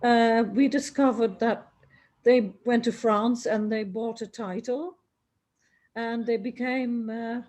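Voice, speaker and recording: A woman's voice: a little high, fairly even intonation, takes it slowly, a little mellow. A middle-aged woman from the Netherlands, a singer and writer, telling her family story in a rambling way with many restarts, speaking from home. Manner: rambling, restarts often, trails off. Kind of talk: remote interview, conversational storytelling. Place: home in Dublin, near a busy road.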